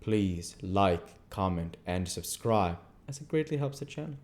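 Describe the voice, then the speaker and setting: Male amateur audiobook reader, low register, very soft dark tone, narrating classic fiction. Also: lively intonation, measured pace. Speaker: audiobook reader and channel host.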